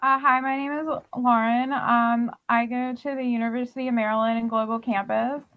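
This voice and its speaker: A woman's voice: a little high, little pitch movement, moderate pace, clean tone. A young woman introducing herself hesitantly on a video call, with frequent fillers, over tinny, phone-like call audio. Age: young adult. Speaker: student. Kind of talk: self-introduction.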